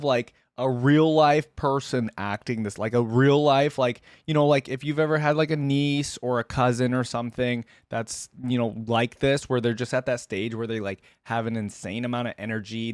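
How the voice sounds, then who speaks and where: A man's voice: medium pitch, no echo, studio quality. A man giving casual, chatty commentary as a reaction video host, easily amused and hedging as he goes.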